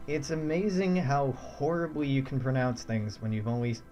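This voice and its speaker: A man's voice: mid-range voice, steady tone, normal speed, a little mellow. Young adult male streamer, mid-range voice, laid-back and chuckly, faint music underneath. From United States. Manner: thinks aloud, chuckles often. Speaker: game streamer. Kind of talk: casual let's-play commentary.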